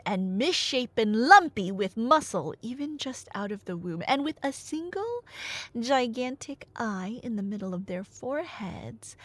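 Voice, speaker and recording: Woman playing nerdy gf doing asmr myth storytelling, highish voice, slow delivery, sarcastic asides, super dry close recording. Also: exaggerated voice. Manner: casual, animated, sarcastic asides between dramatic narration. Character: nerdy, teasing young girlfriend eagerly rambling about Greek myths. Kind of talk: ASMR roleplay storytelling to a listener.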